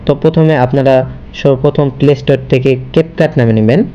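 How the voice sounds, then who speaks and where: A man's voice: medium pitch, fairly quick, mellow, highs cut off, a little hiss or hum. A man hosting a casual step-by-step screen tutorial, linking his sentences repetitively with the same connective word. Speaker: tutorial host.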